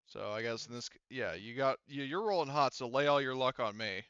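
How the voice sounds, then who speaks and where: Man with smooth, slightly muffled voice, game mastering. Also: mid-range voice, a bit bright, no room sound. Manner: halting, thinking aloud through sums. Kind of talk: running an online tabletop game, casual. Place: remote online voice call.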